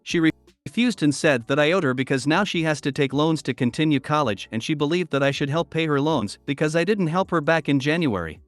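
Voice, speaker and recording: A man with a slightly high voice reads online stories aloud in an even, steady way. The sound is dry and close, with faint music playing underneath. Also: brisk, no room sound. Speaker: narrator. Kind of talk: reading online posts aloud.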